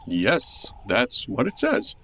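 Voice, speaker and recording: A man's voice: medium pitch, wildly swooping pitch, slow pace, pushed and bright, slightly rough, heavily acted. An actor playing a nervous, fretful man dragged along as a fugitive sidekick in a comedy audio drama. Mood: flustered. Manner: anxious, fretful, pleading.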